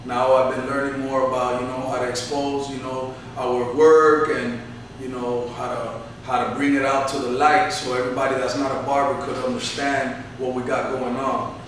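A man's voice: mid-range voice, level tone, moderate pace, fairly bright tone. A man in his thirties talks in an earnest, motivational way, leaning on "you know" as he answers interview questions. He is heard through a camera mic some distance away in a barbershop that rings with echo, and faint room noise sits underneath. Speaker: barbershop owner. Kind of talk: sit-down interview. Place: barbershop, indoors. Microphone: camera mic from across the room. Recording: echoey room, mic far off.

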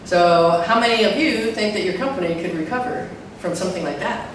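A middle-aged woman with a deep voice gives a chatty talk on a handheld mic, filling her speech with fillers and putting questions to the audience. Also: a little fast, slight background noise. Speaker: conference speaker. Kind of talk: live tech talk to an audience. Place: large hall with a projector screen. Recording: echoey hall from far off.

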